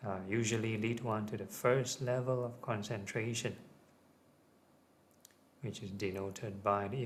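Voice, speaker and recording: A man teaching guided meditation, giving gentle, measured instructions. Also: on the low side. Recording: clearly audible ambient background noise.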